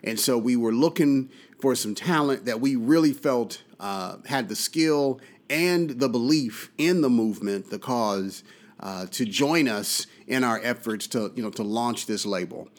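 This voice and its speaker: A man hosting a casual talk radio show from a studio, relaxed and full of uh and mm-hmm fillers. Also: mid-range voice, measured pace. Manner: relaxed, fills his speech with uh and mm-hmm. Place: studio in Austin, Texas.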